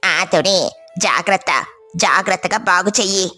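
A woman's voice: medium pitch, fairly even intonation, moderate pace, sharp tone, faint music behind, voice pushed down. A woman voicing a kindly, easygoing crow neighbour in a cartoon story, reassuring and matter-of-fact. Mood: caring.

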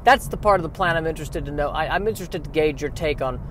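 A man's voice: fairly high pitch, fast, lightly acted. Man acting a flustered, stammering, exasperated everyman. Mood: nervous. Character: flustered, exasperated straight-man moderator, anxious and sarcastic. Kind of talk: comedic sci-fi radio play acting. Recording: noisy recording.

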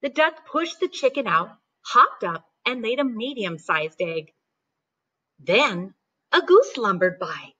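A middle-aged woman reading a storybook aloud to children in an expressive, deliberate way, like a teacher. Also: fairly high pitch, husky, lo-fi sound. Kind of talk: animated storybook read-aloud. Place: living room at home, indoors.

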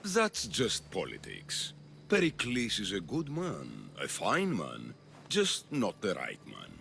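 Theatrical, swooping Athenian demagogue. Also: male voice, lowish voice, wildly swooping pitch, takes it slowly, creaky, bright, forceful tone, slight background noise, heavily acted. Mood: insinuating. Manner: oratorical, insinuating, grandiose. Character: scheming, theatrical populist male politician of ancient Athens. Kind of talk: dramatic video game dialogue.

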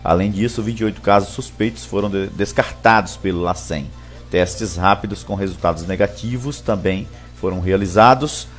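A man's voice: low-pitched, moderate pace, faint music behind. A man from Brazil, presenting a local news bulletin live on a headset microphone. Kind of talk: reading local news, greeting viewers live.

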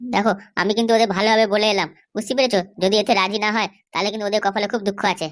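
A woman's voice: medium pitch, steady tone, rapid-fire, lightly acted. A woman voicing a boastful, defiant schemer in a comedy cartoon, full of confident threats. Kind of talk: exaggerated cartoon dialogue.

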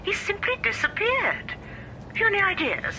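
A bright, gushing society lady on the phone. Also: extremely high pitch, big pitch swings, normal speed, slight background noise, exaggerated voice. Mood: flustered. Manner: gushing, fretful. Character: flustered, grand older society lady. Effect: telephone line.

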